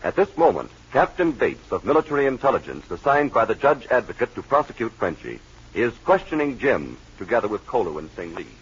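Vintage male announcer, sweeping emphatic intonation, scripted narration, husky tone, muffled old broadcast sound. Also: mid-range voice, very animated, a little fast. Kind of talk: 1940s radio scripted narration and sponsor read.

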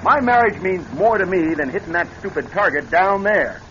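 An actor playing a jokey but anxious skydiving husband in a radio drama, with a casual way of talking. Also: male voice, high-pitched, animated, moderate pace. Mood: easygoing, earnest. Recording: muffled, hissy old radio recording.

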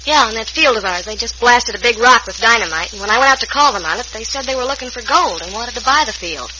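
A woman's voice: on the high side, lively intonation, quick pace, sharp tone. An actress playing a plain-spoken, spirited young ranch woman in an old-time radio western. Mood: uneasy. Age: young adult. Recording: muffled, hissy vintage radio recording.

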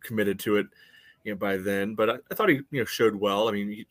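A man with a fairly low voice talks sports on a podcast, recorded very dry. His analysis is casual and rambling, circling through filler phrases. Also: fairly even intonation, fast, no room sound. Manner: rambling, peppered with "you know".

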